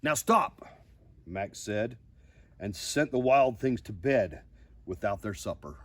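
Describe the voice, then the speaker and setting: An older man with a husky voice reads a children's picture book aloud, casually and expressively. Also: lowish voice, animated, slow. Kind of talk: storybook read-aloud with casual asides.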